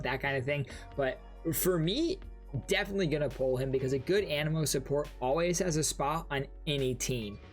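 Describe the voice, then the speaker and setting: A young man giving informal, enthusiastic commentary as the host of a gaming reaction video. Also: medium pitch.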